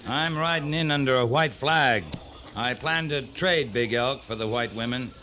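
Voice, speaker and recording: An actor playing a firm, terse American cavalry captain in a 1950s Western radio drama. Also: male voice, medium pitch, normal speed, sharp tone, close to an everyday voice. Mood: businesslike. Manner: authoritative, terse. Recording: noisy 1950s radio recording.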